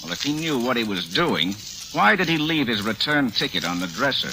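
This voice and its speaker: A man's voice: mid-range voice, sing-song, fairly quick, over loud music. An actor playing a suspicious, insistent man in an old radio drama, pointed and questioning, on a noisy recording. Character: suspicious, insistent male associate of a missing man. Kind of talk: 1940s radio drama acting.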